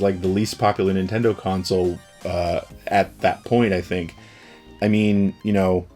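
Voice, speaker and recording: A middle-aged man leafing through an old gaming magazine, chatting loosely about it with plenty of ums and uhs. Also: on the low side. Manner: relaxed, rambling, with frequent fillers. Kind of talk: casual commentary.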